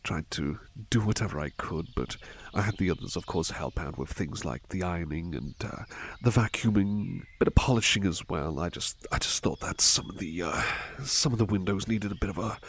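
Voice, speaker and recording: Man acting as devoted male servant, ASMR roleplay, soft-spoken, gravelly creak, gentle, fussing, deferential, repeated reassurances, faint background noise. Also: sits low. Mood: modest, soothing. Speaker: roleplay performer.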